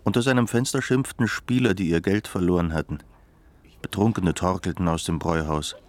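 A man acting as a sombre narrator with a low voice. He tells a literary tale of post-war Germany in the manner of a radio drama. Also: a little fast, lightly acted. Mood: grave, measured.

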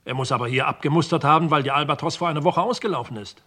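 An actor playing a dutiful, matter-of-fact police sergeant in a 1960s German radio crime drama. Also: male voice, a little high, racing, pushed and bright.

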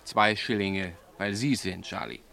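Wry, low-voiced snack bar owner. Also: male voice, a little slow, creaky, pushed and bright, exaggerated voice. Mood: wry, businesslike. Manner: wry, matter-of-fact. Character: dry-humoured male snack bar owner. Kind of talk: German radio drama acting.

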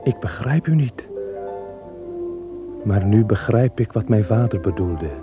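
A soft unsure dreamy man, slow, dull old radio sound with music behind. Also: fairly low pitch, animated. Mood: bewildered. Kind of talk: 1960s Dutch radio drama.

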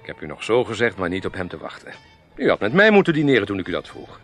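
A man's voice: lowish voice, wildly swooping pitch, quick pace, a bit bright, heavily acted. An actor in a radio drama playing a jovial, courting oil salesman, courteous, chatty and effusive. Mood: jovial, forward. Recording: noisy old radio play recording.

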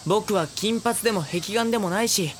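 A woman playing a dry, exasperated high school boy in an anime audio drama, with deadpan retorts. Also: moderate pace, slight background noise, lightly acted.

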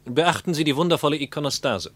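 Radio play: brisk Soviet-era interpreter and guide, wryly complaining, higher male register, dry studio sound. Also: lively intonation, a bit bright, no echo. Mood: brisk, informative.

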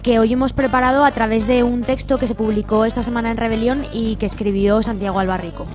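A woman's voice: a little high, fairly even intonation, fast talker. A woman with an accent from Spain, presenting and reading scripted material as a radio co-host in a studio. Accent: Spanish, from Spain. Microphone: studio microphone. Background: music.

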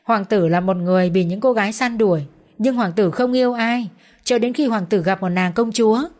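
A woman voicing a gentle, hesitant middle-aged father who speaks softly and apologetically. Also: mid-range voice, fairly quick, lightly acted. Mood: storytelling. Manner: soft, apologetic, unsure.

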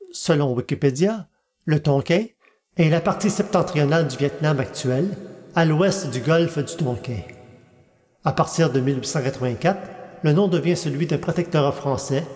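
A man's voice: a little high, moderate pace, a little mellow. A man hosting a podcast, reading scripted historical narration aloud. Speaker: podcast host. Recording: long reverberant hall echo.

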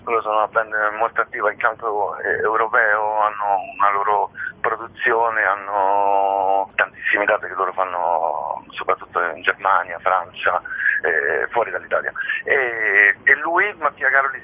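A man with a fairly low voice comes through a narrow, tinny telephone line. He explains things as an interview guest, hesitating often and stretching out hums while he searches for the next phrase. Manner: hesitant, with frequent drawn-out hums while thinking. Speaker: theatre company director interviewed about a show.

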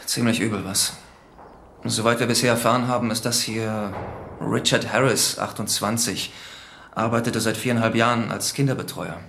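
A man's voice: on the low side, fairly even intonation, moderate pace, gravelly, a bit bright, a little hiss or hum. A man playing a wry, matter-of-fact policeman who briefs his superior drily in a radio drama. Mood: wry, factual. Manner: dry, teasing, factual. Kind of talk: naturalistic radio drama dialogue.

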